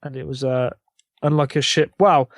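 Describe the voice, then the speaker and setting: A man's voice: medium pitch, fairly even intonation, normal speed, no echo, clean studio sound. A man with a British accent, chatting casually as a co-host on a gaming podcast. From United Kingdom. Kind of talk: casual chat.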